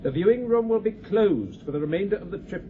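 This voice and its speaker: An actor playing a brisk, officious, matter-of-fact spaceship officer in a radio drama. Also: male voice, high-pitched, lively intonation. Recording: phone-like narrow band, faint noise.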